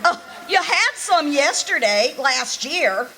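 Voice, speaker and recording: A woman's voice: high voice, big pitch swings, takes it slowly, sharp tone, exaggerated voice, poor audio. A woman playing a bossy but fond old country aunt, with folksy, scolding talk, in old-time radio drama style. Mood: insistent. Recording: live performance with background noise.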